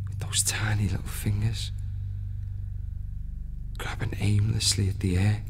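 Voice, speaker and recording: A man in an audio play, playing a dead ship's crewman who is a husband and father, brooding and bitter in a slow monologue. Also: on the low side. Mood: tender. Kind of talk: scripted dramatic monologue. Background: music.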